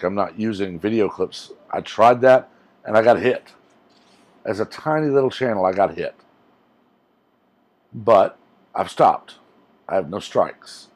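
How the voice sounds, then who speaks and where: A deep, low-pitched man in his forties talks straight to camera in a casual, rambling rant. He sounds earnest and emphatic, recorded close on a lapel mic in a small indoor room. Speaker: YouTuber.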